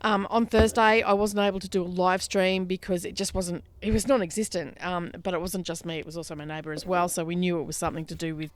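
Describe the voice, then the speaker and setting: A croaky, stuffed-up woman doing a rambling, chatty voiceover on a microphone for her craft vlog. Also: on the low side, fairly even intonation, fast, hardly any pauses. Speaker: craft vlogger. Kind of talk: casual voiceover chat.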